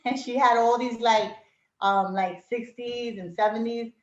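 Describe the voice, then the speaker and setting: A middle-aged woman talks through her memories in a relaxed, rambling way, full of fillers and little laughs. Her voice sits at an ordinary pitch. The recording is roomy and slightly dull. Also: measured pace. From Puerto Rico, raised in Brooklyn, New York. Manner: reflective, many fillers, laughs often. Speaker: interview guest. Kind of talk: casual reminiscing interview.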